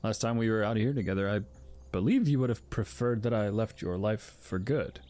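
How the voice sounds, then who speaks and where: An actor in an audio drama playing an earnest personified emotion, with stiff, archaic phrasing and halting delivery. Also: male voice, lowish voice, mellow, natural voice. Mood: gentle, formal. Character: Fear, formal earnest male personified emotion, newly calm.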